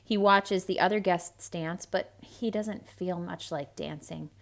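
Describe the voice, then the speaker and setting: A woman reading a storybook aloud to children in a theatrical, expressive way, with hushed asides to young listeners. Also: lowish voice, measured pace, vocal fry.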